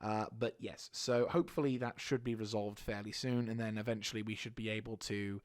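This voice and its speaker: A man with a slightly hoarse throat giving a casual channel update as a gaming YouTuber, rambling and halting with frequent restarts. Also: fairly low pitch, steady tone, no room sound, clean studio sound.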